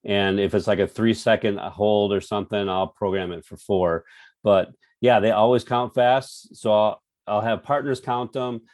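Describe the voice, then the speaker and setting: Casting: low-voiced male coach, relaxed and hesitant, dry close recording. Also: normal speed, no room sound. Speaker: strength coach. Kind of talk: roundtable discussion.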